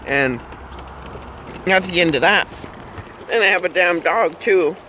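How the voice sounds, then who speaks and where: Jokey bright-voiced man in his fifties. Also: high-pitched, a little slow, a little hiss or hum, poor audio. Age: 50s. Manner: joking, chuckling, humming silly tunes. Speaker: vlogger. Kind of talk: rambling casual chat. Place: in a car.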